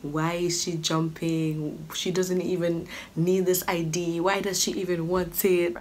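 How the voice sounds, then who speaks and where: Lowish-voiced young woman vlogging, noisy room. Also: unhurried. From Kenya. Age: 20s. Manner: animated, acts out other people's remarks. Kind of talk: casual storytelling to camera. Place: small furnished living room or bedroom, indoors. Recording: clearly noisy home room recording.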